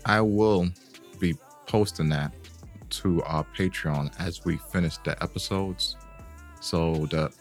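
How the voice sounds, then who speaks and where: Deep-voiced joking male podcast co-host. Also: slow, soft background music. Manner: loose, joking, swears casually. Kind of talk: casual chat.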